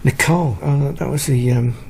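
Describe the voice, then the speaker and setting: A man plays a light-hearted, placating husband in a radio drama. His creaky, medium-pitched voice is casual and a bit hesitant, over clear background ambience. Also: brisk, darkish tone, slight background noise, close to an everyday voice. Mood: vague. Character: easygoing, joking husband, vague and placating. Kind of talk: naturalistic radio drama acting.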